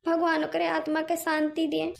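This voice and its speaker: A woman's voice: high voice, completely flat delivery, moderate pace, vocal fry, roomy sound, slight background noise. A woman with an Awadhi accent voicing chatty, indignant village women in an amateur cartoon, speaking emphatically. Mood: relieved, sympathetic. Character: chatty indignant village sister-in-law, sympathetic gossip. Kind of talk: amateur Hindi cartoon dialogue.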